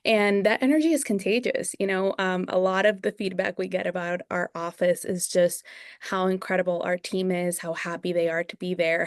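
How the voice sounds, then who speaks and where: Young woman from venezuela, sincere guest, lowish voice, dry close sound. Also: no echo. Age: young adult. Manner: earnest, sincere. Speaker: dentist and practice owner. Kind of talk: interview guest.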